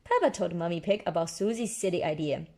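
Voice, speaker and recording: A woman's voice: fairly low pitch, lively intonation, a little fast, gravelly, darkish tone. A woman reading a children's story aloud, explaining things simply as if to a young child. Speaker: storybook reader.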